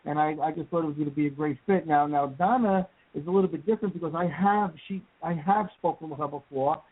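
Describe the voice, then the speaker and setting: A man hosting a paranormal radio talk show, excitable and often repeating words as he speaks. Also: a little high, quick pace, no echo. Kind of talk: casual radio chat. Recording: dry tinny phone-like line.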